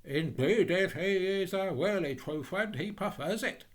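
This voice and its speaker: A man's voice: high voice, lively intonation, measured pace, pitched above the performer's own voice. A man voicing a pompous, self-important miller in a storybook tale, preachy and emphatic as he poses as a generous friend. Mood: preachy, smug. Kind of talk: theatrical storybook character voice.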